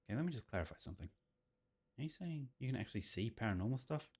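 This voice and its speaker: A man's voice: medium pitch, big pitch swings, rapid-fire, a little mellow, husky. A man voicing chatty, teasing visual novel characters. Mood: playful, questioning. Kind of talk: reading visual novel dialogue aloud. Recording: game music behind the voice.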